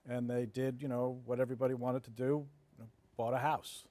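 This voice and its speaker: A man's voice: medium pitch, level tone, brisk, dark tone. A man in his fifties from New Jersey, telling stories casually and matter-of-factly as an interview guest. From New Jersey, United States. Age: 50s. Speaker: interview guest, development executive. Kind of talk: conversational interview storytelling.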